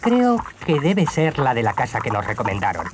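An earnest poor peasant. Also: male voice, mid-range voice, normal speed, noisy background. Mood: friendly. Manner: earnest, expressive, husky. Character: poor honest peasant man, pleading.

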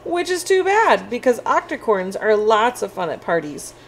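Woman as a friendly octopus-unicorn, childlike storybook character, very lively intonation, quirky, slightly lonely. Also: on the high side, moderate pace, a little hiss or hum. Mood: cheerful, boastful. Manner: animated, childlike storybook delivery.

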